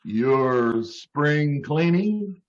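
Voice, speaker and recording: Man acting a slow, flirty, baffled salesman. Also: fairly high pitch, animated, lo-fi sound. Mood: puzzled. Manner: hesitant, chuckling, throat-clearing. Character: smooth-talking flirtatious salesman, easily baffled. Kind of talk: old-time radio comedy acting.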